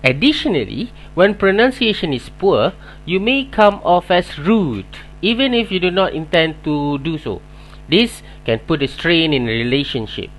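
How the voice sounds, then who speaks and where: Malaysian male english teacher, malay native speaker, earnest scripted lesson, fairly high voice. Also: lively intonation, normal speed, a bit bright, poor audio. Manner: earnest, reads prepared points in order.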